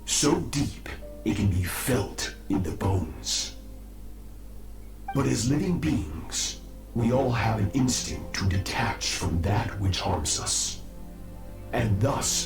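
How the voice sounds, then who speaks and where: An actor playing a cruel, imperious master in a video game, speaking in a solemn, commanding manner. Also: male voice, fairly low pitch, creaky, full character voice. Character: cruel, imperious dark master training a child. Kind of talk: dramatic video game voice acting. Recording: echoey game audio with music behind.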